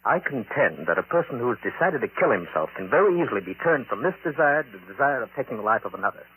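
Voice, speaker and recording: A man playing an obsessive, resentful middle-aged psychology professor in 1940s radio drama, insistent and halting on a vintage recording. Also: medium pitch, sing-song, a bit bright, husky, full character voice, low-quality recording. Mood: earnest, insistent. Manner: insistent, halting, intense delivery.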